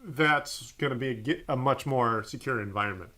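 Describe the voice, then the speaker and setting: A man with a bright, forceful voice of medium pitch gives casual advice as a tech advisor. He explains in a measured, plain-spoken way, in a conversational register. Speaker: tech support advisor, host.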